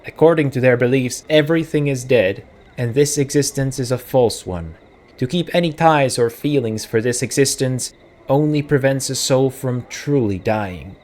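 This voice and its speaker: Let's-play host: a Czech-accented man of middle pitch, musing and correcting himself as he reads game dialogue aloud. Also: moderate pace, darkish tone. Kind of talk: casual gameplay commentary, reading game text aloud.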